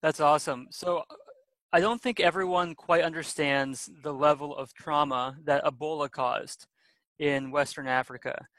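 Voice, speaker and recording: A man's voice: on the high side, steady tone, normal speed, fairly bright tone, no echo. Upbeat male podcast host. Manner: upbeat, a bit rambling questions. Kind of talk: podcast interview.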